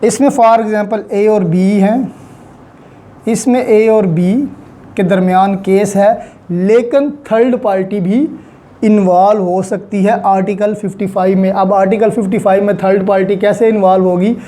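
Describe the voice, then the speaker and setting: A law lecturer with a Pakistani accent explains terms deliberately, repeating them and mixing in English legal vocabulary. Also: mid-range voice, fairly even intonation, warm tone.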